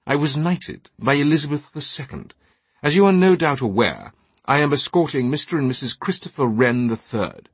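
A man's voice: mid-range voice, expressive, moderate pace, breathy edge, no room sound, voice pushed up. Audiobook role: imperious older aristocratic Englishman, haughty and sly, performed by a male reader, dry sound. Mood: grandly pompous. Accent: upper-class British. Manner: pompous, haughty. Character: imperious, scheming older British knight on crutches.

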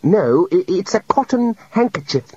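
A man's voice: high-pitched, very animated, unhurried, dark tone, breathy edge, no room sound, voice pushed up, heavily acted. An earnest young wizard stumbling through awkward explanations, full of restarts, as a male narrator puts him on. The sound is dull and dry. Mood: hesitant. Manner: hesitant, explanatory.

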